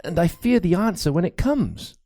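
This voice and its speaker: Guy doing a scared young priest from a medieval mystery audiobook, voice pitched way up, stuttery and nervous. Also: expressive, mellow, no echo, studio quality. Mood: nervous, faltering. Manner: stammering, halting, anxious. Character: fearful, hesitant young male medieval priest.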